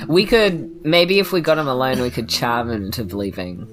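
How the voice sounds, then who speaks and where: A woman's voice: a bass voice, sing-song, normal speed, faint music behind. A woman with a New Zealand accent chatting casually and playfully as a player in a tabletop game. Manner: jokey, playful. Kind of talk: casual joking chat.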